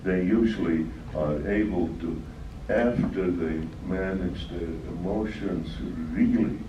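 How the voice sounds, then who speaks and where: An older man presenting at a talk, answering questions in a slow, measured way with pauses. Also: deep voice. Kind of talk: Q&A session at a talk. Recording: echoey room from far off, dull and noisy.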